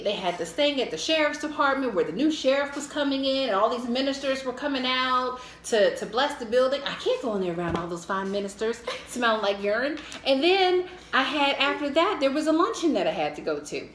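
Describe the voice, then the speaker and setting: A middle-aged American woman telling a casual, humorous personal story in a candid, animated way. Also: high-pitched, a little fast. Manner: animated, candid, acts out her own thoughts. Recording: echoey room with faint noise.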